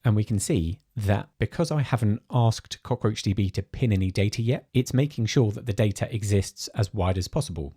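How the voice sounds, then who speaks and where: A man narrates a technical screencast, explaining each step in a measured, steady way. His voice sits low and dark, with a slightly husky edge. The recording is dry and close, with no room sound. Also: no echo. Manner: measured, explanatory, walks through steps. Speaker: product demo presenter.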